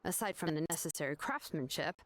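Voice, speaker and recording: An actress playing a young, earnest artisan in a video game, explaining things in a measured, matter-of-fact way. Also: female voice, low voice, animated, dry recording. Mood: explanatory. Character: young female name-engraving artisan, earnest, laid-back, knowledgeable.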